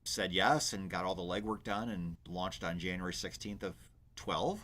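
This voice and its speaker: A man hosting a business radio show, with a smooth, announcer-trained delivery and an enthusiastic, affirming manner. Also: deep voice, steady tone, moderate pace, fairly bright tone. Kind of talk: radio interview.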